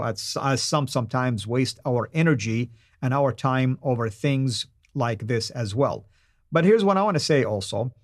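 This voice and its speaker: A man's voice: medium pitch, no echo. Male host, native Arabic speaker, earnest and emphatic live-stream address in a dry studio. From Saudi Arabia. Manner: earnest, emphatic, with repeated phrases.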